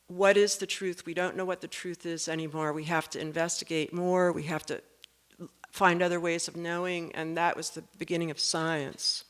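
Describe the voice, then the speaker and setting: An artist presenting their own work in a slide talk, speaking with halting, measured phrasing. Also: mid-range voice, little pitch movement, fairly bright tone, clear, resonant tone.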